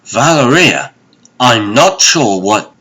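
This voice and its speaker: A man's voice: medium pitch, very animated, slow, full character voice. A man playing a stern, weary sorcerer sheriff in a comic dub, speaking in a grave, formal manner. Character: stern, weary male sorcerer sheriff who lays down the law. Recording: loud dramatic music behind.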